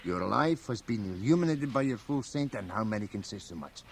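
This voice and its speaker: An actor playing a very old, mischievous and learned priest in a radio drama, with a gravelly aged voice and sly, chuckling asides. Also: male voice, lowish voice, expressive, fairly quick. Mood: earnest, reflective. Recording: faint hiss, home cassette radio transfer.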